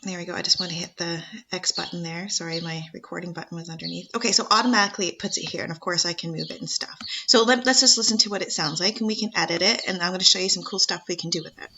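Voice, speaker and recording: A woman teacher talking students through a screen-share software tutorial in a chatty, encouraging way. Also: fairly low pitch, fairly even intonation, very fast, few pauses, noisy background.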